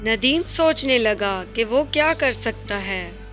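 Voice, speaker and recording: High-pitched, slow-paced woman storyteller. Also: expressive, fairly bright tone. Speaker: story narrator. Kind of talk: scripted moral story narration. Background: music.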